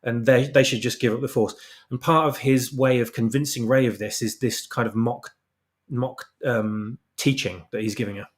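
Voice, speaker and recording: Male guest author, mid-range voice, halting explanatory style in relaxed interview talk. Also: medium pitch. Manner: halting, repeats words while explaining. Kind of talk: casual interview discussion.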